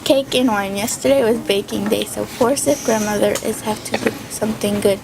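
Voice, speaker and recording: A woman's voice: mid-range voice, steady tone, moderate pace, vocal fry, noisy background, close to an everyday voice. A woman reading the lines of a sweet, trusting young girl from a storybook.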